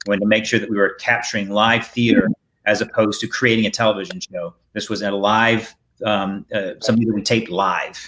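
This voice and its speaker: A middle-aged man hosting a casual video call discussion as a director, halting and often rephrasing himself. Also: lowish voice, little pitch movement, a bit bright. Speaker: host and theater director. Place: indoor room on a video call. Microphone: video call microphone.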